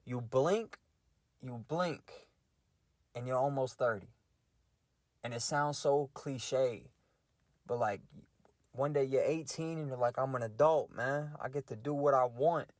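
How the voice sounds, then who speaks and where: Man, mid-20s, casual solo rant host, slangy and reflective, medium pitch, dry close mic. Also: a little slow, long pauses, no echo. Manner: loose and conversational, heavy slang, reflective. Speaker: podcast host ranting.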